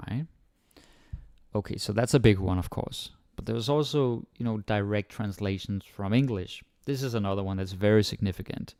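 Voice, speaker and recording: A man whose first language is Danish gives an informal language lesson in a slightly low voice. He rambles easily and leans on fillers as he acts out his students' thoughts. The sound is dry and close, with almost no room echo. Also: darkish tone, clean tone. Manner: chatty, full of "like" and "you know", acts out learners' thoughts. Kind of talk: casual spoken lesson to camera.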